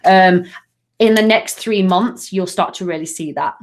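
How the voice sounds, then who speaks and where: A woman's voice: on the low side, measured pace. Soothing chatty woman from Nottingham. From Nottingham, England. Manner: gentle, soothing, affectionate, with frequent fillers. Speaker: angel card reader. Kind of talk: live card reading, chatty.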